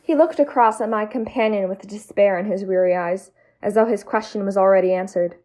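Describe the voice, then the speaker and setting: A woman's voice: medium pitch, fairly quick, a little mellow, lightly acted. A woman playing a loyal, inquisitive detective's companion and storyteller in an amateur audio drama, earnest and literary. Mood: solemn narrating. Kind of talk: amateur audio drama acting and scripted narration.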